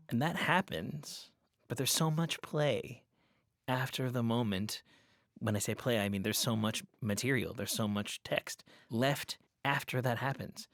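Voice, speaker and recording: A man's voice: mid-range voice, dry recording. Articulate male podcast host, dry sound. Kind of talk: interview hosting with scripted sign-off.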